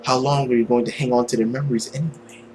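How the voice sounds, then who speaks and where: A man voicing a suave, mocking, ancient villain from a visual novel, with a theatrical, drawn-out and sardonic delivery. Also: mid-range voice, steady tone, background noise, voice pushed down, lightly acted. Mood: smooth persuasion.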